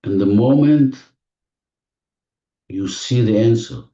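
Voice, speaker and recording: Man, slow guided visualization, soft dark tone, non-native English phrasing, gentle repeated cues, muffled echoey recording. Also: medium pitch. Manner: gentle instructions with repetition and deliberate pauses. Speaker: guided meditation leader. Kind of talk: guided visualization, spoken slowly to a listener. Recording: muffled, echoey room, distant mic.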